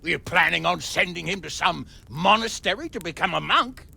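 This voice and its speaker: An actor playing a harsh, commanding medieval villain with a raspy voice and a curt, menacing manner in a video game. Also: male voice, high voice, expressive, normal speed, sharp tone, heavily acted. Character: harsh, commanding medieval villain lord hunting his enemy. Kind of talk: dramatic video game acting.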